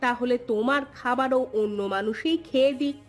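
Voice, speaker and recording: Woman acting a sharp-tongued, nagging cartoon wife. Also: fairly high pitch, normal speed, faint music behind, lightly acted. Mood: scolding. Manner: scolding, sarcastic, pointed rhetorical questions.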